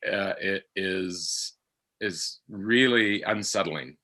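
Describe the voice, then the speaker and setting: Guy chatting on a podcast, lowish but bright forward voice, halting and thoughtful. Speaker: actor, podcast guest. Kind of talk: casual discussion.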